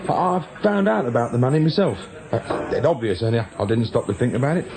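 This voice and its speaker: An actor playing an evasive, nervy small-time crook in a radio drama, with a British accent and a hesitant, defensive manner. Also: male voice, medium pitch, expressive, fast, crowd noise, lightly acted. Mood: evasive, flustered. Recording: clear background noise and ambience.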